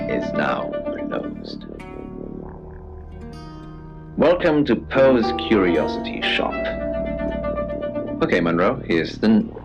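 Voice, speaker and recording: An actor playing an eccentric, macabre curiosity shop keeper who co-hosts a late-night radio show in a deadpan, hesitant way. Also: male voice, sits low, vocal fry, lo-fi sound, exaggerated voice. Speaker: radio co-host. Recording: band-limited radio sound over music.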